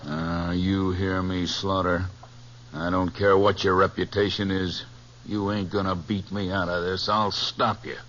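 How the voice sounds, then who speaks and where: Sharp, blustering western villain. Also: male voice, deep voice, little pitch movement, measured pace, a little hiss or hum. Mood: menacing. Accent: American. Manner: blustering, threatening. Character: belligerent, crooked cattle drover and rustler, villain. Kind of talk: 1950s radio western villain acting. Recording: dull 1950s radio recording.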